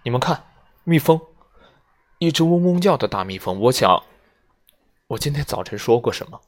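A man's voice: medium pitch, normal speed, creaky, slightly rough. A man voicing a frightened, jumpy young woman who cries out in alarm in an audiobook.

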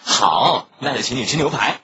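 A man playing a teenage schoolboy in an audio drama, speaking softly and earnestly. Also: mid-range voice, lively intonation, normal speed, a little hiss or hum. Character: teenage schoolboy Luo, shy classmate. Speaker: male lead.

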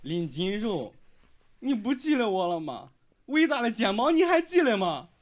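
A man acting a lovestruck, sentimental young male student in a Chinese audio drama, with a regional dialect accent and an animated, emotional delivery. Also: sits high, moderate pace. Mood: pleading.

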